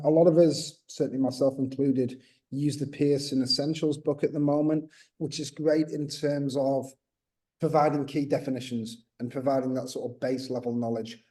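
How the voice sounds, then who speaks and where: A man gives a webinar talk over a video call, his voice mellow and dark. He explains at length, circling back with fillers and restarts, and carries a slight accent. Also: medium pitch. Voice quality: says he has a slight accent. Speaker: textbook co-author. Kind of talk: webinar presentation.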